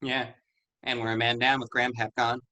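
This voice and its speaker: A man's voice: mid-range voice, flat delivery, normal speed, bright, forceful tone, dry recording, lightly acted. A man reading the part of a terse, defiant teenage farm son. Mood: sullen. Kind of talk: staged play reading.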